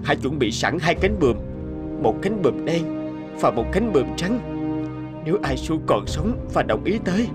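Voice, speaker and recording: A man voicing a lovesick, wounded young nobleman in an animated fairy tale, mournful and melodramatic. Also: on the high side, moderate pace, over loud music. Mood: weak, pleading.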